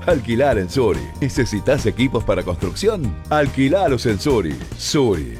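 A man's voice: mid-range voice, sing-song, moderate pace, a little mellow. A man reading a scripted radio advertisement in an upbeat, persuasive way. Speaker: commercial announcer. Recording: music bed under voice.

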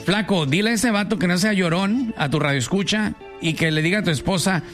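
A man hosting a comedy radio show, animated and joking as he reads listener messages. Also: high-pitched, fairly quick, faint music behind.

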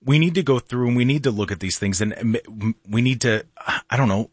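A man's voice: medium pitch, brisk, breathy edge, no room sound. A man hosting a talk radio show in a studio, chatty, laughing often and restarting his sentences. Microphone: studio microphone.

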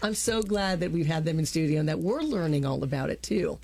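Animated woman hosting studio trivia. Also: deep voice, fairly quick, gravelly. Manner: animated, laughs often. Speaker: radio co-host running a trivia game. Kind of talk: lively studio banter.

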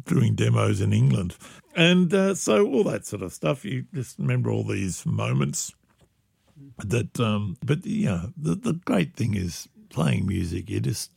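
Gravelly-voiced older man reminiscing. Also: lowish voice, dry recording. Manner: animated storyteller, laughs often. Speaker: musician guest. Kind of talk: reminiscing interview chat.

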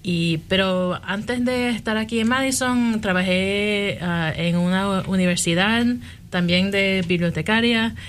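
A woman's voice: mid-range voice, a little slow, slight background noise. A woman from Miami with a mixed Puerto Rican and Mexican accent, answering interview questions hesitantly. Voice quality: hesitant, many fillers and restarts. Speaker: library guest.

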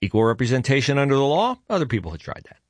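A man's voice: mid-range voice, very animated, racing, dry recording, clean studio sound. A man hosting a talk radio show, speaking in a conversational, opinionated monologue with frequent fillers and self-corrections. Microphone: studio mic.

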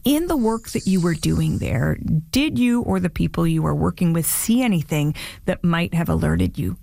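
Female news presenter, lowish voice with noticeable fry, probing and deliberate interviewing style, dry studio-like sound. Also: animated, warm tone, no room sound. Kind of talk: live broadcast news interview.

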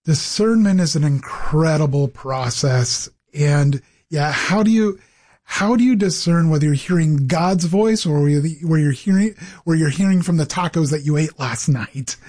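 A man's voice: a little high, moderate pace, darkish tone. A middle-aged man from the Pacific Northwest with an American accent, telling his own story conversationally as an interview guest. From Pacific Northwest, United States. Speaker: interview guest, ministry executive director.

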